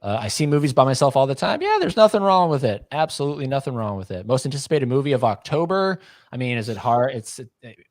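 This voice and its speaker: A man's voice: medium pitch, big pitch swings, quick pace, no room sound, clean studio sound. A man in his late thirties co-hosting a livestream, joking and self-deprecating. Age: 30s. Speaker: co-host. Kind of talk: casual chat on a livestream.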